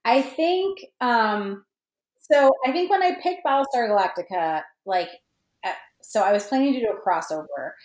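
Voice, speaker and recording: A woman's voice: fairly high pitch, lively intonation, normal speed. A woman podcast guest chatting casually and reflectively about her writing, searching for words and often saying "like". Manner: hesitant, searching for words, many "like"s. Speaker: podcast guest, fan fiction writer. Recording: roomy, echoey sound.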